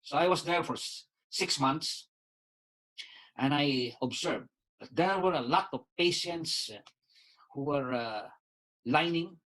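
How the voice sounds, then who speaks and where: A man in his sixties from the Philippines with a Filipino accent, a doctor and lawyer giving a casual spoken testimonial, pausing often with "uh", repeating words and saying "you see". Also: a little high, a bit bright, poor audio. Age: 60s.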